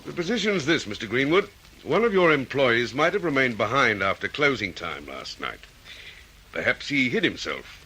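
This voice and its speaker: An actor playing a methodical, questioning police detective in an Australian radio drama, with an Australian accent. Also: male voice, mid-range voice, very animated, sharp tone, slightly rough, full character voice, poor audio. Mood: probing. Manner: deliberate, questioning.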